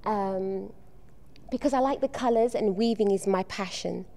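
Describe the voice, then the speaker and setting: A middle-aged woman from the UK, soft-spoken and hesitant with frequent ums, answering questions as an art exhibition organizer at a school event. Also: medium pitch, normal speed, vocal fry. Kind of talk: on-location TV interview.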